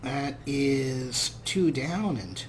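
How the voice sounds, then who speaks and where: A man's voice: medium pitch, expressive, extremely slow, slight background noise. A man hosting a let's play with wry, amused, casual commentary.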